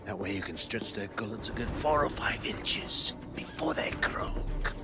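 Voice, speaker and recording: An actor playing a cruel, gloating middle-aged prison warder in a video game, menacing and bullying. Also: male voice, fairly low pitch, lively intonation, measured pace, whispered. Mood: gloating, sinister. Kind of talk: dramatic video game cutscene acting. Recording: music behind the voice.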